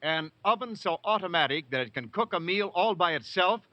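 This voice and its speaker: High-voiced vintage radio announcer, man. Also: animated, fairly quick, pushed and bright, no echo. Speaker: commercial announcer. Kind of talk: 1940s radio ad, scripted. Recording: dull, dry old radio recording.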